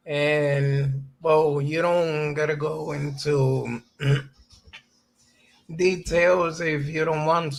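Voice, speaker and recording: A male host speaks slowly in a fairly high voice during an easygoing livestream. He is chatty and greets the chat as he goes. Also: rough recording. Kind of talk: casual livestream interview.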